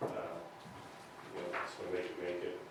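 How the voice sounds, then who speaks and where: A man's voice: sits low, completely flat delivery. A man speaking hesitantly as he chairs a public meeting in an informal, procedural way. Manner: hesitant, halting delivery. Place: indoor public meeting room. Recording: distant mic, very echoey noisy meeting room.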